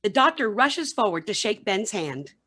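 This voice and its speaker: A woman's voice: mid-range voice, very animated, moderate pace, a bit bright. A woman narrating scripted stage directions for a radio play.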